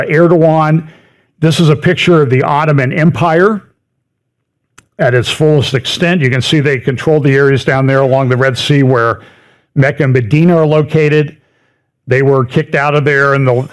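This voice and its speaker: A middle-aged man talks through a slideshow in a loose, conversational way, his voice sitting a touch higher than most men's. He repeats words to stress them and tosses in dry little asides. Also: steady tone, moderate pace. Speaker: speaker giving a prophecy update talk. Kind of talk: lecture with slides.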